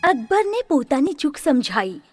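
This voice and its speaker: A woman narrating a children's story in an expressive way. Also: high-pitched, normal speed, faint music behind.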